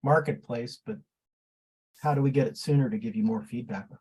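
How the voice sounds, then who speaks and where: A man talking casually as a participant in a software community call. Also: mid-range voice, fairly quick, slightly rough.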